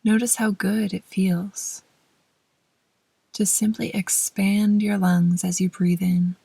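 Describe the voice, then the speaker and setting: Slow, breathy woman guiding meditation. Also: medium pitch, flat delivery, no echo. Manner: gentle, unhurried, with measured pauses between short phrases. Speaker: guided meditation host. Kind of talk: slow, soothing guided meditation narration.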